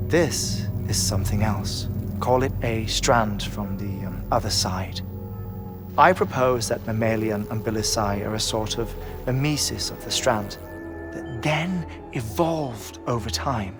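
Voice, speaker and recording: A man playing an erudite scientist in a video game cutscene, explaining theories in a precise, formal, measured way. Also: fairly low pitch, natural voice. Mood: intent, theorising. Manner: lecturing, precise, formal diction. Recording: music behind the voice.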